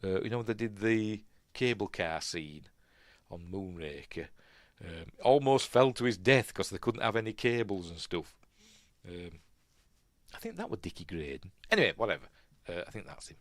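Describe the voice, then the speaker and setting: A man with a Yorkshire accent hosting a casual film commentary, rambling and musing aloud, often second-guessing himself and trailing off quietly. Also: low voice, animated, moderate pace, no room sound. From Yorkshire, England. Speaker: film review host.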